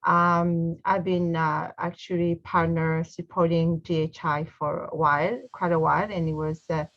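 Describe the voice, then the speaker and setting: A woman from Rwanda with a Rwandan accent, speaking as a guest, grateful and halting, with many fillers. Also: on the low side, little pitch movement, a bit bright, muffled recording. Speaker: guest, trip partner. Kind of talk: casual recorded video message.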